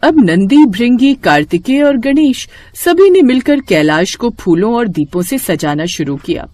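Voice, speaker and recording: A woman's voice: on the high side, big pitch swings, a little slow, a little mellow, dry recording. Woman narrating a mythological bedtime story.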